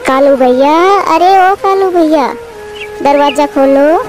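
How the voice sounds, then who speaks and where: A woman voicing a gentle, helpless young mother bird with a plaintive manner in a moral-story cartoon. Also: high-pitched, slow, soft background music. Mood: distressed, pleading. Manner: pleading, plaintive.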